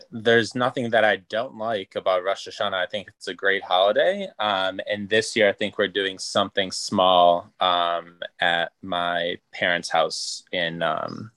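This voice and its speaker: A man's voice: low voice, fairly bright tone, no echo. A man from Israel who moved to the US as a child, giving casual, agreeable, brief answers as a student in an online class. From born in Israel, moved to the US at nine.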